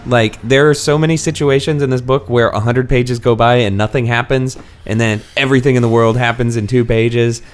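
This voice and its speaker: Wry man hosting, medium-pitched voice. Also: steady tone, brisk, hardly any pauses, clean tone, soft background music. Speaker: podcast host. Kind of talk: casual book discussion.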